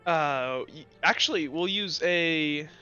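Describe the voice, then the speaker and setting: A man improvising narration as game master of a tabletop roleplaying game, in informal, halting phrasing. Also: on the high side, bright, forceful tone, clean tone. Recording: dull lo-fi audio with music behind.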